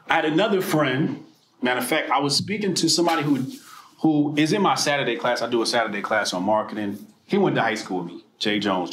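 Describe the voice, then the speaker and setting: A man from New Jersey tells personal stories to a room, animated and profane, his voice jumping widely in pitch. He drops to quiet asides, then bursts loud again. The sound bounces around an echoey event room with faint background ambience. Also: a little hiss or hum. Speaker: speaker at a political mixer. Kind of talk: personal storytelling speech.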